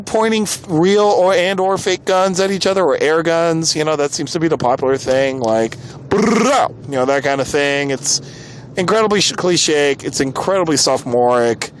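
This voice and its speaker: An American man hosting a podcast, giving casual opinion commentary in an exasperated, informal and emphatic way. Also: a little high. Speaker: podcast host. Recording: clearly noisy background.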